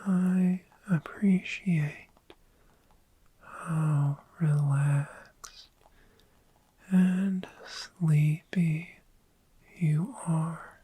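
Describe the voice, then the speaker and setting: A performer voicing a friendly, gentle dragon in a soft-spoken ASMR roleplay, breathy and mostly whispered, soothing and lingering over words. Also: mid-range voice, steady tone, heavily acted. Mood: sleepy, tender. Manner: slow, soothing, lingering repetition. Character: friendly, gentle dragon host of a sleepy hoard.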